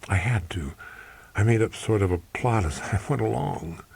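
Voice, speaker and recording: A staunch career woman in an audiobook crime story , as a male reader plays her, reserved and efficient. She speaks in a low, husky voice with a precise, measured, slightly hesitant delivery. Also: darkish tone, no room sound, exaggerated voice. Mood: defensive.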